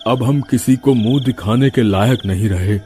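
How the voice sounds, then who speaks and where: A man's voice: mid-range voice, normal speed, warm tone, slight background noise, close to an everyday voice. A man voicing a timid young male villager who stammers when frightened, in a cartoon. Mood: scared.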